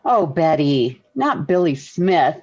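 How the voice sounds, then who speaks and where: A sensible, motherly American housewife in a vintage radio comedy. She speaks slowly with very varied intonation and gentle concern, on a muffled old recording. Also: low voice, sing-song, exaggerated voice. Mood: puzzled, patient. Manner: measured, motherly. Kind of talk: 1940s radio sitcom acting.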